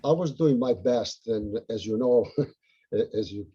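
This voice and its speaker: A man's voice: medium pitch, slow pace, warm tone, husky, muffled recording. A man with an Italian accent, a local butterfly group organizer, talking earnestly and rambling with many fillers on a video call.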